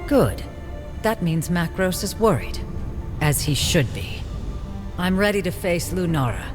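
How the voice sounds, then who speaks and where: A man's voice: high-pitched, big pitch swings, a little slow. A man playing a resolute, noble warrior hero in a fantasy video game, with terse, commanding delivery. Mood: determined. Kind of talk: scripted video game cutscene dialogue. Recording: long hall reverb, loud game music behind.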